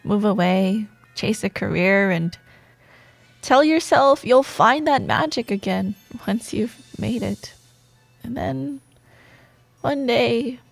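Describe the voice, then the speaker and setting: A woman playing a proud, teasing yet wistful rival coworker in an intimate ASMR romance roleplay monologue, with playful banter, sighs and soft pauses. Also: a little high, vocal fry, dry recording, exaggerated voice. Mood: wistful, nostalgic. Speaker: audio roleplay performer.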